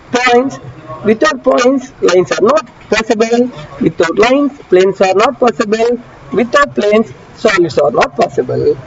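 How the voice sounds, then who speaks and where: A man giving an online engineering lecture, repeating key phrases slowly and often asking students if they follow. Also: high voice. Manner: asks students frequent check questions. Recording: dull muffled audio with traffic noise behind.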